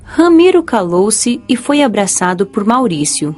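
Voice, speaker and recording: A woman's voice: medium pitch, big pitch swings, unhurried, a little mellow, faint music behind. A woman giving scripted narration for an audiobook.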